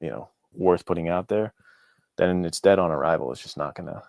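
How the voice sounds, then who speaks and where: A man's voice: sits low, brisk, vocal fry, a little mellow, dry recording, studio quality. A man talking casually as an interview guest, earnest and thinking aloud as he goes. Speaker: author, podcast guest.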